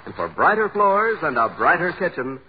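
American male announcer for vintage radio commercials, animated scripted delivery, dull old broadcast sound. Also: a little high, normal speed. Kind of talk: scripted 1940s radio sponsor pitch. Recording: muffled old radio, faint noise.

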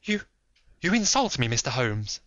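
A man playing a haughty lady of rank, her voice pitched high and tight with strain. The audiobook sound is dry and a little dull. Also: very animated, fairly quick, fairly bright tone, no echo. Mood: indignant. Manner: high, strained, pleading delivery. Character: proud aristocratic lady, desperate, defiant. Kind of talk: audiobook reading.

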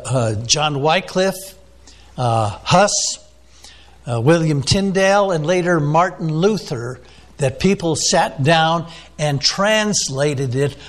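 A man preaching a sermon, teaching off the cuff with many "uh"s and questions to the listeners. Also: on the high side. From United States. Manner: unscripted teaching with frequent fillers, asks the listeners questions. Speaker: pastor.

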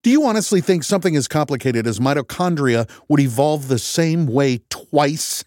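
A man's voice: fairly high pitch, lively intonation, moderate pace, a little mellow, no echo, studio quality, voice pushed up, close to an everyday voice. Brief: combative, sarcastic male scientist, raised and heated, the narrator's own take.

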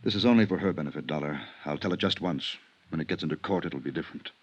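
An actor playing an uneasy, guilty attorney in a 1950s radio drama, halting and subdued. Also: male voice, a bass voice, husky. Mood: resigned confession. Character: uneasy attorney, guilty new husband, cornered but resigned. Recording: muffled 1950s radio recording.

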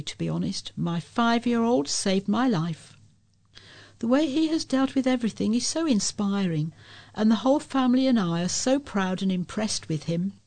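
A woman reads local news from a script as a volunteer. Her medium-pitched voice has a noticeable creak. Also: a little mellow. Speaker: volunteer newsreader.

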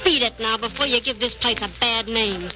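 An actress with an American accent playing a tough-talking young gangster's moll in a 1940s radio drama, scornful, slangy and sassy. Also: female voice, a little high, normal speed, lightly acted. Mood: bitter, scornful. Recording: dull old radio recording with loud music.

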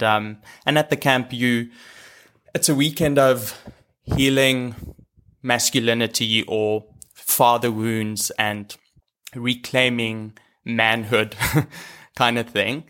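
A man's voice: medium pitch, steady tone, takes it slowly, sharp tone, clean tone. A young South African man, a native Afrikaans speaker, talking in a reflective, hesitant way as a guest on a video call. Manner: reflective, many ums and restarts. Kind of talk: casual chat.

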